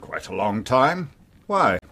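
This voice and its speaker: An actor in a radio crime drama playing a haughty, defensive middle-aged gentleman, curt and easily indignant. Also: mid-range voice, big pitch swings, takes it slowly, full character voice. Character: haughty, defensive titled gentleman, indignant male murder suspect.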